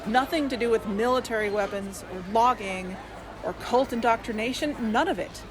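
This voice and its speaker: Woman acting high-voiced exasperated skeptic, noisy crowd. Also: moderate pace, lightly acted. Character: exasperated, skeptical young female investigator, level-headed partner. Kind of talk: audio drama dialogue. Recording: clear noise and crowd bustle. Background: busy outdoor crowd.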